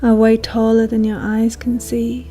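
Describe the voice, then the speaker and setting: A woman leads a guided meditation in a soothing, hushed manner, leaving long pauses. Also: fairly high pitch, breathy edge. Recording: soft music behind voice. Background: calm meditation music.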